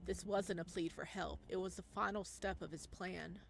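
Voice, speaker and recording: A woman reading a visual novel aloud as a player, in expressive, theatrical narration over music. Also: fairly low pitch, normal speed, gravelly. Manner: expressive, theatrical reading with stresses and hushed passages.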